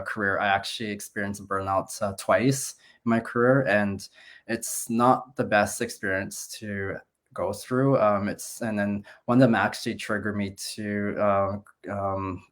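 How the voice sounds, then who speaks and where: A man's voice: on the low side, fairly even intonation, a little slow, a bit bright. A man in his thirties, a guest in a casual video-call interview, talking in a friendly, rambling way with many uhs. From Canada. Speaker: guest, nurse and business owner.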